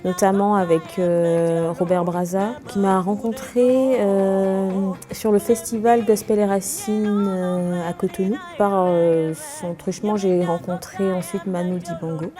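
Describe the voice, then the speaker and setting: A young woman from Togo, a singer, answering interview questions calmly and thoughtfully, with many hesitations. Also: medium pitch, measured pace, faint music behind.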